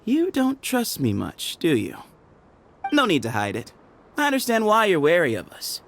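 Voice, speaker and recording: A sly desert gang leader teases and toys with the people he meets. His voice is a little gravelly and bounces up and down in a mocking sing-song. There is a faint hiss behind him. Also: sits high, huge pitch swings, moderate pace, full character voice. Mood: teasing. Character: sly, teasing man who leads a hidden desert band. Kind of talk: video game cutscene voice acting.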